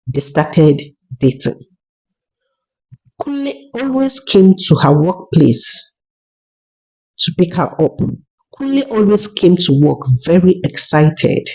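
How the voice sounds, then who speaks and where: A narrator telling a scripted folktale in a measured way, as a storyteller. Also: mid-range voice, a little mellow. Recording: dull lo-fi recording.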